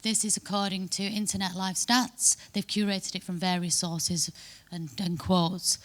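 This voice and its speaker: A woman's voice: medium pitch, level tone, unhurried. A woman from Manchester with a Mancunian accent, giving a chatty conference talk and often checking in with "yeah?". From Manchester, England. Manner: chatty, frequent tag "yeah?" and restarts. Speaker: conference speaker. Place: conference hall.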